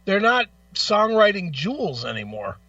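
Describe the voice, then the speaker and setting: A man with a high, bright voice delivers an opinionated talk show rant. He is blunt, profane and emphatic, firing off rhetorical questions. The audio is dry but a little dull and lo-fi. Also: sing-song, moderate pace, no room sound, far from the mic.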